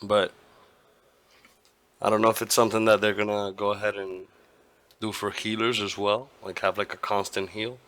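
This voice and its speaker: A hoarse man hosting a gaming channel, giving his opinion casually, rambling and restarting often and swearing now and then. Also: on the low side, level tone, moderate pace, long pauses, fairly bright tone. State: hoarse, partly lost voice.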